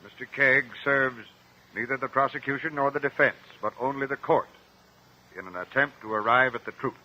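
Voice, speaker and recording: An actor playing a stern, fair-minded judge, speaking formally and with authority in a radio courtroom drama. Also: male voice, mid-range voice, moderate pace, sharp tone. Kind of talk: old-time radio courtroom drama acting. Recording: dull, noisy old radio recording.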